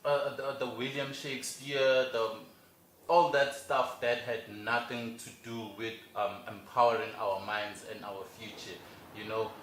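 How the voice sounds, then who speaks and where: A man's voice: mid-range voice, fairly even intonation, a bit bright. A young South African man co-hosting a casual talk show, speaking earnestly with frequent restarts. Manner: earnest, halting, with many restarts. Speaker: co-host. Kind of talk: casual chat. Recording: echoey room with faint noise.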